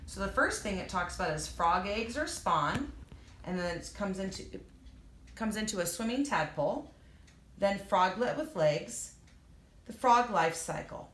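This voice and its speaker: In an echoey room, a woman with a mid-pitched voice reads nonfiction aloud for young children. She talks straight to her listeners like a teacher, stepping out of the text to explain things simply. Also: animated, unhurried, a bit bright, a little hiss or hum.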